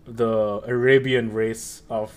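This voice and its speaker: A man's voice: medium pitch, level tone, takes it slowly. A young man hosting a casual chat about video games, talking in a rambling way with many fillers and restarts. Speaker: podcast host.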